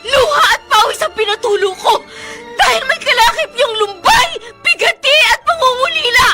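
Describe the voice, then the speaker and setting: A woman's voice: very high-pitched, lively intonation, normal speed, pushed and bright, faint music behind, exaggerated voice. A Filipino actress playing an anguished, defiant young mother, pleading emphatically in a melodramatic radio drama. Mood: anguished, vengeful.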